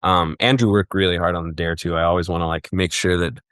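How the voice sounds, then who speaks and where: A young man from Egypt with a very low voice talks casually on a podcast, circling his thoughts with lots of fillers and restarts. The sound is dry and close, with no room around it. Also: steady tone, quick pace, fairly bright tone, no echo. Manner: rambling, many fillers and restarts. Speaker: guest, festival booker.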